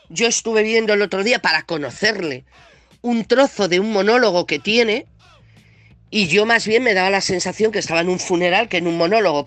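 Female opinion presenter, Castilian accent, mid-pitched forceful voice with a head cold; blunt, sarcastic, outraged monologue delivery. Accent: Castilian Spanish. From Spain. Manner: indignant, sarcastic, blunt, emphatic. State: has a heavy cold. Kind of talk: scathing political opinion monologue.

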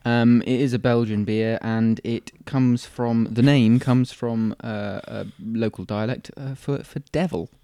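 A man's voice: mid-range voice, steady tone, a little slow, few pauses, mellow, clear, resonant tone, no echo, clean studio sound. A British man co-hosting a casual show, bantering in a jokey, rambling, self-deprecating way. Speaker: co-host of a chat show about beer and snacks.

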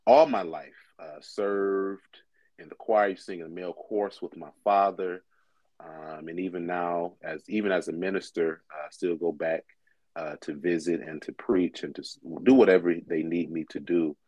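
A man in his twenties, a pastor from Michigan, talking relaxed and conversational in an interview, with frequent fillers. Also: deep voice, normal speed, dry recording. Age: 20s.

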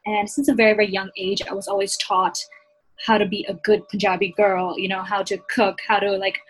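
A woman's voice: mid-range voice, flat delivery, a little fast, rough recording. A young woman from Hong Kong talking earnestly and reflectively in a casual discussion, with frequent fillers. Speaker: panel guest.

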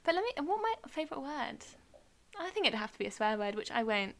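A young woman, chatting casually on a podcast about growing up speaking Arabic, lively and quick to laugh. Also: on the high side, vocal fry. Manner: animated storyteller, laughs often. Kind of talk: casual chat.

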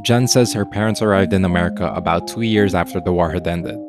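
A man co-hosting a podcast, reading scripted narration in a calm, even delivery. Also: low-pitched, quick pace. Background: loud music bed.

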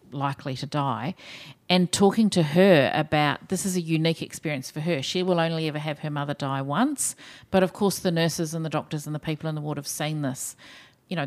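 A woman with a New Zealand accent and a low voice talks as a podcast guest. She speaks in a thoughtful, measured way and often pauses with ums as she works through her points. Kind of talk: podcast interview.

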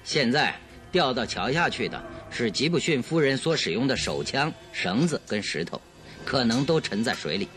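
Confident detective, mid-pitched, theatrical and explanatory, for radio drama. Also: male voice, sing-song, moderate pace, fairly bright tone, soft background music. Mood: triumphant. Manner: theatrical, explanatory, self-assured. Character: confident male detective theatrically demonstrating his deduction.

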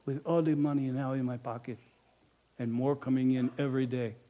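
A man performing a greedy, self-justifying innkeeper in a first-person monologue, swinging between loud bluster and hushed confession. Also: medium pitch, little pitch movement, normal speed, natural voice. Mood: guilty. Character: greedy self-justifying male Bethlehem innkeeper, middle-aged. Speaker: storyteller performing a character monologue.

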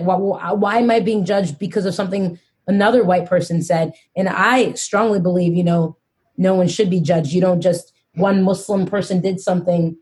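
A woman hosting a podcast, speaking earnestly and slowing down to stress her points. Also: fairly low pitch, darkish tone. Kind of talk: casual podcast discussion.

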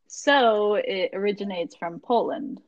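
A woman's voice: mid-range voice, a little slow, no echo, muffled recording. Chatty young woman, dry sound. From Jacksonville, Florida. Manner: rambling, many ums and likes. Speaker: magazine founder, guest. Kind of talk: casual interview chat.

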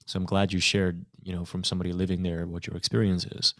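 Deep-voiced, eager podcast host. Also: male voice, level tone, quick pace, no echo, clean studio sound. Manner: enthusiastic, restarts often, frequent "you know". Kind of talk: casual podcast interview.

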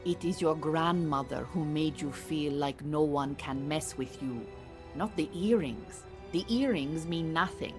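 A proud Iranian refugee woman with a low voice, in an audio drama. She speaks formally and precisely, dry and disillusioned, with music and rain behind her. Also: measured pace. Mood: stern. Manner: formal, dry, exacting phrasing. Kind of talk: naturalistic audio drama acting.

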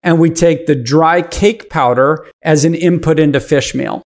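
Fifty-something guy explaining stuff on a podcast, kinda high voice, steady. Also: unhurried. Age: 50s. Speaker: podcast guest. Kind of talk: casual interview talk.

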